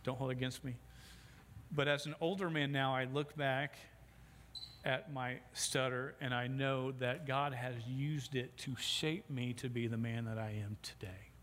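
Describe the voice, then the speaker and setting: Stuttering pastor preaching in a hall. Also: male voice, mid-range voice, fairly even intonation, a little slow, fairly bright tone. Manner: stutters, with repeated sounds and restarts. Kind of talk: sermon. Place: church sanctuary. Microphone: sound system microphone. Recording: long hall reverb, faint background noise.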